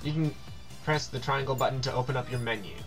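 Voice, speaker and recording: A man's voice: medium pitch, fairly even intonation, fast talker, a bit bright, faint music behind. A man doing casual game commentary, reading on-screen text aloud and stumbling over words now and then.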